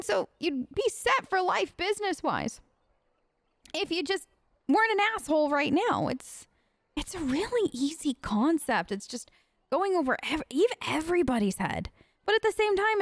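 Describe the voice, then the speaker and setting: Chatty, high-voiced woman gamer. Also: animated, normal speed, dry recording, clean studio sound. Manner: chatty, sassy, rambling. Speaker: gaming host, role-playing her character. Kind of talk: casual role-play game commentary.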